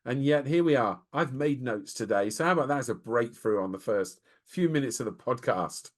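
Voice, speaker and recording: A man's voice: mid-range voice, expressive, brisk. Laid-back guy cohosting a podcast, easygoing chat, thinks as he talks. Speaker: podcast co-host.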